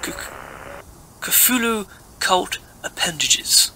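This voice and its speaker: A fairly high-pitched male expedition scientist, acted by a man in an audio play. He dictates his discoveries in halting, telegraphic bursts, as if sending a field report. Clear noise sits behind the voice. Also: expressive, pushed and bright, exaggerated voice. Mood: excited, awed. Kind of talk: amateur audio drama acting, dictated report. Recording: clearly noisy background.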